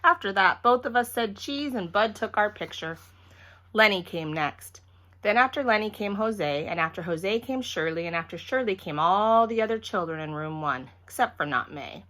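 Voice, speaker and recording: Woman, bright forceful voice, expressive read-aloud of a children's chapter book, animated storyteller delivery. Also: medium pitch, moderate pace. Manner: animated, acts out each character.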